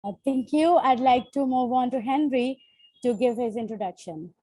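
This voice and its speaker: A woman's voice: a little high, normal speed, warm tone, no room sound, rough recording. A woman from Bangladesh moderating a panel, making polite, formal introductions.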